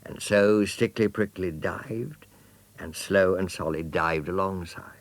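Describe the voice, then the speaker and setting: A man's voice: low voice, takes it slowly. A man narrating a children's storybook as an audiobook reader.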